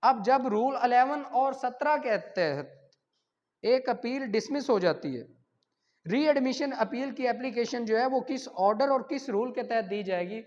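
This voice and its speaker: A woman's voice: on the high side, animated, unhurried, a little mellow. A woman with a Pakistani accent teaching like an exam tutor, reading out questions and explaining the answers in a didactic way. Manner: didactic, poses questions then answers them, repeats key points. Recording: muffled lo-fi sound, long hall-like reverb.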